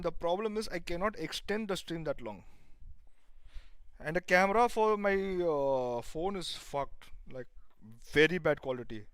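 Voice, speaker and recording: Male streamer, 30s, joking and crude, slightly high voice, dry mix. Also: a bit bright, dry recording. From India. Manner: joking banter, mixing Hindi words into English; blunt and crude. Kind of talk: casual live-stream chat.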